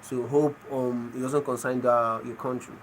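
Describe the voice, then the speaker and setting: A man's voice: mid-range voice, level tone, moderate pace, poor audio. Nigerian man, igbo first language, live chat, repeats himself, faint hum behind. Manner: repeats phrases, asks viewers to explain. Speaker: livestreamer chatting with viewers.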